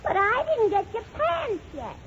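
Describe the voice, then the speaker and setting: A woman's voice: extremely high pitch, wildly swooping pitch, a little slow, crowd noise, full character voice. A woman playing a naive, stubborn, inquisitive little girl with childish wheedling questions in 1930s radio comedy. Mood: nosy, innocent. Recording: noisy muffled 1930s radio recording.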